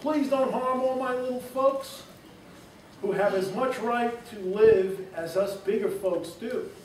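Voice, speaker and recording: A man's voice: extremely high pitch, slow, heavy reverb, pitched above the performer's own voice. Kind male elephant as a man reads him, earnest, calling out, distant mic, noise behind.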